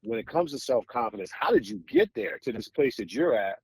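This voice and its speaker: A man's voice: medium pitch, wildly swooping pitch, a little fast, creaky, slightly rough, no echo. An American man hosting a podcast interview in a casual, conversational style, with meandering and admiring questions. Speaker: podcast host interviewing guests.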